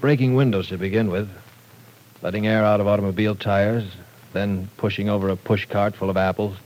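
A no-nonsense professor, voiced by an actor, speaking in a lowish, steady tone with firm, lecturing authority. Old-time radio play delivery. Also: male voice, fairly quick, lightly acted, low-quality recording. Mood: stern. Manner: firm, lecturing, cuts in to keep order. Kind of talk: 1940s radio acting.

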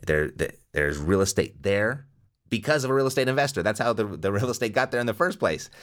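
A man's voice: lowish voice, animated, fairly quick, a bit bright. A man hosting an investing podcast, giving motivational advice and repeating key phrases for emphasis. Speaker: podcast host. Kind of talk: energetic podcast monologue and interview.

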